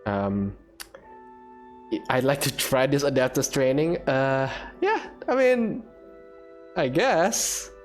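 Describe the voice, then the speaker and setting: A woman's voice: very deep voice, expressive, slow, pauses often, faint music behind. A woman gaming host giving casual let's-play commentary, reacting and thinking aloud as she plays.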